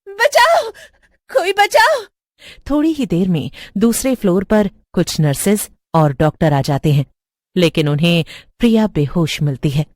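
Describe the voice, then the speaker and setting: A woman's voice: mid-range voice, darkish tone, dry recording. A woman reading a scripted horror story as its narrator, recorded close up.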